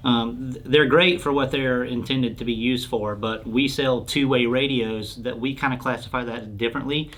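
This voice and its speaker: A man's voice: medium pitch, steady tone, normal speed, hardly any pauses, a bit bright. A man answering questions as a sales expert in an informal explainer interview, speaking in a plain, explanatory way.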